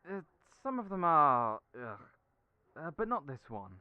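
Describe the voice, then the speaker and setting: A man's voice: on the high side, big pitch swings, a little slow, clear, resonant tone, no room sound, narrow, tinny audio. A man playing a fussy, doting male hairdresser who fusses over people and calls them dearie. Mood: persuasive. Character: chatty flamboyant male hairdresser friend. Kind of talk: audio roleplay acting.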